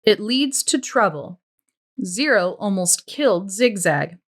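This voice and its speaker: A stern woman warden , read by a female storybook narrator, coolly interrogating with sarcastic, pointed questions. Her delivery is slow and controlled. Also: medium pitch, expressive. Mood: stern, commanding.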